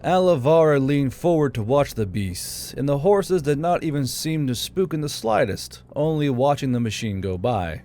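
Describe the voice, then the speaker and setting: A man's voice: a little high, expressive, normal speed, hardly any pauses, warm tone. A man reading scripted fantasy narration as an audiobook narrator. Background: background music.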